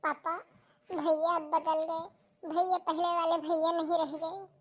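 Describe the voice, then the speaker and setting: A very high, young schoolgirl voice, acted by a woman for a cartoon melodrama. She is earnest and plaintive, sounding close to tears as she pleads. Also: little pitch movement, unhurried. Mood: tearful, pleading. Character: tearful young schoolgirl pleading to keep studying. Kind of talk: Hindi cartoon family melodrama acting.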